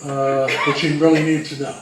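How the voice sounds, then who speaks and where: A man's voice: on the high side, flat delivery, moderate pace, a crowd in the background. A man co-presenting as a researcher, talking casually to an audience. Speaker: co-presenter, old radio researcher. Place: roomy indoor presentation space. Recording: very echoey room, distant mic.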